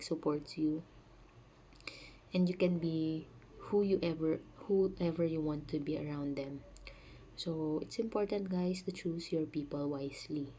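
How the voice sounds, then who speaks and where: A woman with a low voice and a soft, dark, mellow tone. She is sick and talks in a chatty way with many fillers, explaining ideas to her viewers. Faint room noise is heard behind her. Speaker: channel host. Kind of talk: casual spiritual talk to camera. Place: home, dogs nearby.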